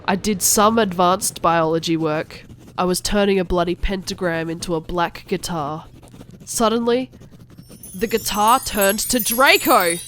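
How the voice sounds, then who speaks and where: A woman playing a self-absorbed teenage goth girl, narrating an animated fan fiction in a melodramatic, whiny way. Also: fairly low pitch, a little slow, slight background noise. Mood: gloomy.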